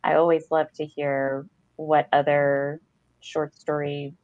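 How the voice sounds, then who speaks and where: A woman in middle age co-hosts a podcast with an effusive, conversational manner. Her voice sits fairly low, captured close and dry on a slightly muffled video call. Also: flat delivery, takes it slowly, darkish tone, no echo. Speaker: podcast co-host. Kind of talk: casual literary discussion. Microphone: headset on a video call.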